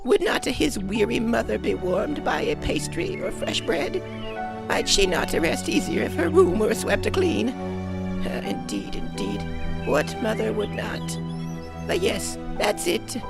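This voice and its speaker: A fussy old peasant woman in a radio play, her voice creaky and gravelly. She talks slowly and swoops wildly up and down in pitch, over soft music. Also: huge pitch swings, exaggerated voice. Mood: resolved. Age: older. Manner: exclaims "Bah!", swoops in pitch. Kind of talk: audio drama acting.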